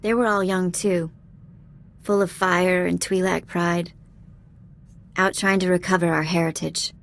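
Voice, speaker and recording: A woman playing a cheeky, warm-hearted young alien ex-thief in a video game, speaking in a casual, candid, slangy way. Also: fairly low pitch, little pitch movement, unhurried, creaky. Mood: candid, reflective. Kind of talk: video game dialogue acting.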